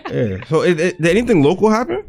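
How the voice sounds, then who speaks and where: A man's voice: sits high, very animated, quick pace, creaky, over a noisy crowd. A man co-hosting a casual podcast, blunt and profane, and he repeats his points. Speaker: podcast co-host.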